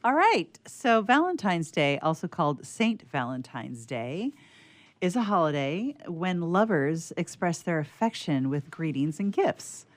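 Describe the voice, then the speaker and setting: A woman's voice: on the low side, sing-song, measured pace. A woman radio theatre player reading an informational history note aloud from a script.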